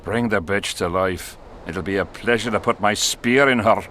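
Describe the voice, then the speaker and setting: A man's voice: on the low side, moderate pace, background noise, close to an everyday voice. An actor playing a crude, arrogant king in a video game, blunt, scornful and commanding. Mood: crude, impatient. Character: crude, arrogant, imperious male warrior king.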